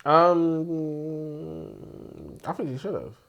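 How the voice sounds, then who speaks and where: A man's voice: fairly high pitch, fairly even intonation, extremely slow, vocal fry, fairly bright tone, muffled recording. A man co-hosting a casual book debate, pressing his point with probing questions as a devil's advocate. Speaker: book review podcast co-host.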